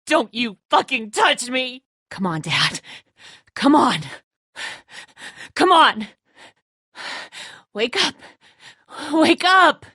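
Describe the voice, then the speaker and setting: Bitter angry woman from a video game, raw and distraught, slow heavy delivery, really dry close sound. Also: high voice, sing-song, sharp tone, dry recording, heavily acted. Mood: frantic, furious, then desperate. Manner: raw, emotional, confrontational, sweary. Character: hot-tempered, distraught, bitter grown daughter in a crisis. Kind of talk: dramatic video game acting.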